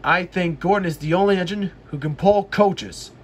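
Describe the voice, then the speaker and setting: A man's voice: sits high, normal speed, slightly rough. A man voicing a cross, vain, impatient steam engine in a children's storybook, rude and urgent. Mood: cross, proud. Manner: rude, urgent, repeats commands. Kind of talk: children's storybook read-aloud.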